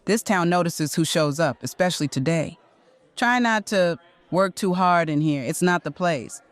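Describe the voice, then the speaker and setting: An actress playing an older retired policewoman in a noir audio drama, calm, controlled and quietly firm. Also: lowish voice, moderate pace, dry recording, lightly acted. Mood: calm warning.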